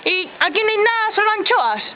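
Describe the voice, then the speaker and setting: A young woman playing a brash barnacle fisherwoman in an improvised comic sketch, loud and rambling. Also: very high voice, big pitch swings, fairly quick. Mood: boastful. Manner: loud, joking, rambling, trading insults. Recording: muffled home video with crowd noise.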